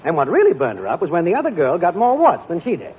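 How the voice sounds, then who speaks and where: Joking sneaky neighbor guy, high voice jumping everywhere, lo-fi old radio. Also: huge pitch swings, quick pace, a loud crowd. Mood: wisecracking. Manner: dry, joking, sly. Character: wisecracking, scheming male neighbor. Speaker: friend and neighbor in a radio sitcom. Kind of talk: 1940s radio sitcom acting. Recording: dull, faintly hissy old radio broadcast.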